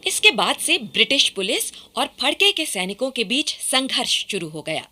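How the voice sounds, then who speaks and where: A woman's voice: a little high, big pitch swings, normal speed, pushed and bright. A woman giving formal, dramatic scripted narration for a radio play.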